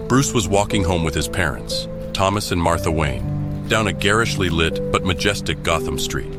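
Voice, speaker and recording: A man with a deep voice narrates an audio drama. His delivery is scripted and dramatic, telling the action scene by scene. Loud music runs beneath him throughout. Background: loud dramatic score.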